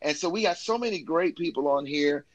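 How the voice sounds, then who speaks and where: A man's voice: fairly high pitch, a little fast, no room sound, highs cut off. A man talking casually and enthusiastically as a musician being interviewed, with informal phrasing that often ends in "man". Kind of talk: casual chat about career and new album.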